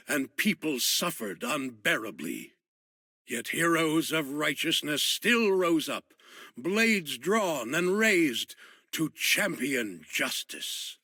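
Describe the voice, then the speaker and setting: Slow, forceful, grandiose storyteller. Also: male voice, on the high side, dry recording, studio quality, heavily acted. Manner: grandiose, theatrical. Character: grandiose storyteller narrator of a martial arts legend. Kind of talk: dramatic scripted narration.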